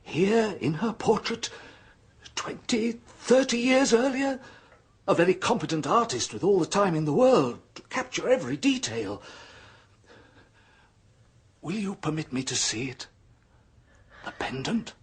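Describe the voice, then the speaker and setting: An actor playing a scholarly male history professor in a radio drama, hesitant at first and then rising to hushed intensity. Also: high voice, very animated, measured pace, long pauses, slightly rough, heavily acted. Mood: intense. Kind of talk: 1970s radio drama acting.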